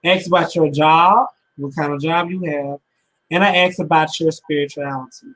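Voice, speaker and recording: A woman's voice: fairly low pitch, poor audio. A woman in her twenties, a podcast co-host, giving blunt, emphatic opinions in slangy casual chat. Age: 20s.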